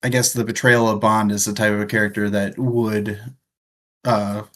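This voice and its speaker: A man's voice: on the low side, little pitch movement, normal speed, fairly bright tone. A man hosting a casual livestream book discussion, searching for words as he goes. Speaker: show host, reads viewer comments.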